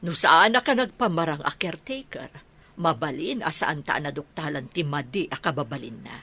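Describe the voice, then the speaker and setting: A woman plays a doting mother with schemes for her only son. Her voice is very low and husky, acted in radio drama style. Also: lively intonation, moderate pace, sharp tone, full character voice. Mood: doting, scheming. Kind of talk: Ilocano radio drama acting.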